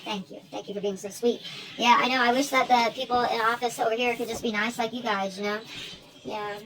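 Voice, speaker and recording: A woman's voice: on the high side, fairly even intonation, a little fast, fairly bright tone, slight background noise. An American woman chatting in a rambling, informal one-sided phone call for an online video, drifting between asides. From United States.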